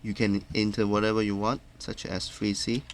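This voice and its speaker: A man narrating a step-by-step tutorial in a plain, instructional way, halting from phrase to phrase. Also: on the low side, level tone. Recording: clearly noisy background.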